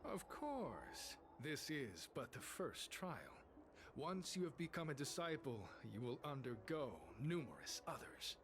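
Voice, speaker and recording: Sanctimonious, higher-voiced male cult zealot. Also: very animated, unhurried, noisy background. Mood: solemn, instructive. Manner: solemn, formal, sanctimonious. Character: devout, zealous male cult disciple preaching a healing deity. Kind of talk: video game voice acting.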